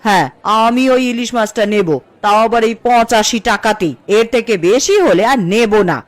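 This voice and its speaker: A woman's voice: fairly high pitch, measured pace, no room sound, a faint crowd, natural voice. A woman playing an assertive, sensible housewife in a Bengali cartoon, speaking firmly. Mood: firm, reasoning.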